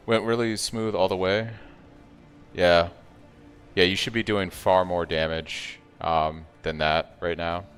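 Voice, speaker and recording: A man's voice: low-pitched, level tone, slow pace, fairly bright tone, clean tone. A man streaming a game, talking casually and thinking aloud as he answers chat, with a soothing voice. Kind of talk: casual live-stream commentary. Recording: long reverb, faint music behind.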